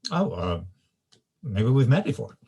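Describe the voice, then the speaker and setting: An actor at a table read playing a shy, gentle young student in a hesitant, understated way. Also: male voice, mid-range voice, sing-song, a little slow, slightly rough, full character voice. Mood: hesitant, friendly. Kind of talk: screenplay table read, naturalistic dialogue.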